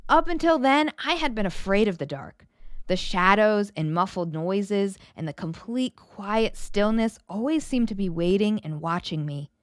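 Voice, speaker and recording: A woman reads a children's story aloud with a very lively, swooping delivery. Her pitch sits in the middle for a woman, and the recording is dry and close, with little room sound. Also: unhurried, dry recording. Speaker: children's book narrator. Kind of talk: animated read-aloud storytelling.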